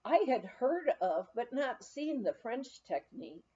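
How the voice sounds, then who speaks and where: A woman reading a memoir aloud in a halting manner, sometimes stumbling over words and going back over them. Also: a little high, very animated, mellow, dull audio. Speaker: volunteer audiobook reader.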